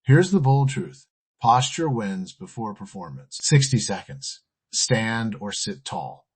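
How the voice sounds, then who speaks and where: A man's voice: medium pitch, expressive, takes it slowly, breathy edge. A man hosting a podcast, giving scripted motivational teaching in short, emphatic, earnest phrases. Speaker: podcast host.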